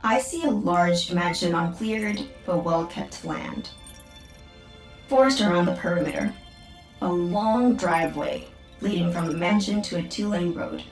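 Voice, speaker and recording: Actress as a precise, literal AI assistant, cool and formal, set over music. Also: female voice, lowish voice, lightly acted, rough recording. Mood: calm, precise. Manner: measured, formal, literal phrasing. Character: literal-minded female AI assistant, calm and precise. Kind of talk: audio drama acting.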